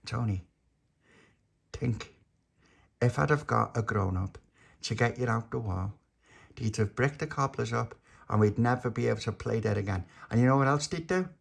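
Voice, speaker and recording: A man's voice: medium pitch, normal speed, creaky, long pauses. A man voicing a scheming, bossy little boy ringleader in a storytelling, urgent and persuasive in manner.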